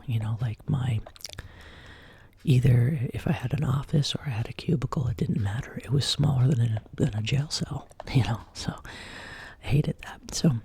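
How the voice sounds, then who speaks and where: A woman hosting a soft-spoken ASMR chat, sick and sounding lower than usual, drifting between quiet speech and whispers in her home studio. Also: vocal fry, breathy edge. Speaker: ASMR channel host. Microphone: binaural desk microphone.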